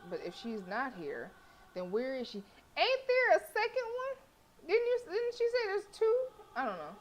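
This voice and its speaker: A woman's voice: very high voice, wildly swooping pitch, a little slow, highs cut off, a little hiss or hum. A woman streamer reading a horror visual novel aloud, breaking off into joking, swearing asides. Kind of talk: reading game text aloud with asides to chat.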